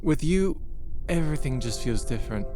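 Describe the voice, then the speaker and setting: A man's voice: mid-range voice, animated, moderate pace, dark tone, faint music behind, lightly acted. A man acting in an audio play as an earnest, lonely young moon colonist, speaking sincerely and a little hesitantly. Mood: tender, earnest.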